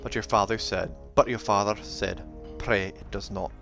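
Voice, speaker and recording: A man voicing a gruff, protective old dwarf with rolled Rs, in the manner of a fantasy audiobook. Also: fairly low pitch, fairly even intonation, moderate pace, a bit bright. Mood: sorrowful. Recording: music behind the voice.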